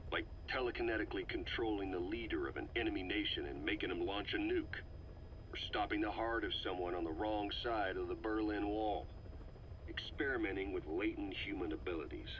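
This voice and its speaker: A man playing a grave intelligence officer in a video game, giving a deliberate, brooding briefing with dramatic pauses. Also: lowish voice, lightly acted. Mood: somber, brooding. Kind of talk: scripted briefing on a cassette tape, video game acting. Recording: muffled cassette tape with steady noise.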